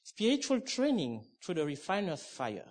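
A man's voice: high voice, huge pitch swings, moderate pace. A middle-aged man from Cameroon preaching a sermon, emphatic and conversational, with restarts and asides.

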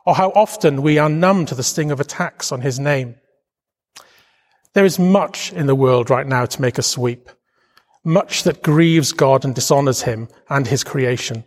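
A middle-aged Welsh man giving an earnest, reflective devotional talk, with emphatic stresses as he preaches. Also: on the high side. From Wales. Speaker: charity leader giving a Bible talk. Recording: long hall reverb.

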